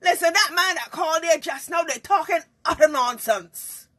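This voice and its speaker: A woman putting on an excited radio call-in listener who complains loudly and emphatically. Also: normal speed. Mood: indignant. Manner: loud, high and emphatic.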